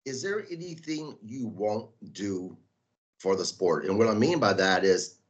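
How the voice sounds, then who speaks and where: Low-voiced man host asking questions. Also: expressive, dull audio. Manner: probing, halting, restarts words. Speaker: podcast host. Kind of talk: interview questions.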